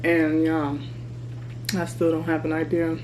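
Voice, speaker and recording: A woman in her thirties talking candidly to her vlog camera while she eats, chatty and relaxed. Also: deep voice, a little slow, background noise. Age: 30s. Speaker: vlogger. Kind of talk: casual mukbang vlog chat. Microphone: camera mic.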